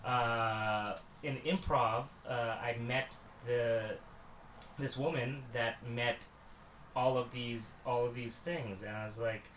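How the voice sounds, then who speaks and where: A man with a loud voice whose pitch spikes upward, chatting casually and rambling, laughing often, in a room at his house. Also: steady tone, slow pace, echoey room, slight background noise, rough recording. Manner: rambling, laughs often, lots of "right?" and "you know". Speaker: magician and improviser. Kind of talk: casual chat.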